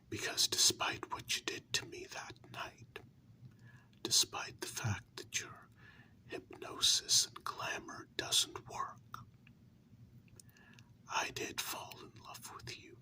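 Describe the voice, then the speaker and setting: Guy doing a hurt human lover in vampire asmr roleplay, whispering real slow and earnest, stretching out words. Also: pushed and bright. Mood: tender, earnest. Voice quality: breathy unvoiced whisper. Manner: earnest, deliberate, drawn-out words with emphatic pauses. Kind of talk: whispered ASMR role-play.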